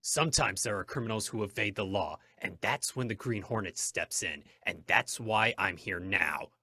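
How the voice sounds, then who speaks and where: Man playing low, husky, commanding masked vigilante. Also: bright, forceful tone, full character voice. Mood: cool, commanding. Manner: commanding, formal, deliberate phrasing. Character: commanding masked male crime-fighting vigilante, stern and righteous. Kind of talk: old-time radio drama acting.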